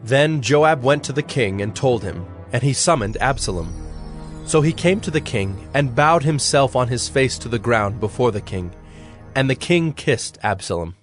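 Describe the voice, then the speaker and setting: A man narrating a dramatized audio Bible in a solemn, measured storytelling manner. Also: medium pitch, lively intonation, soft background music.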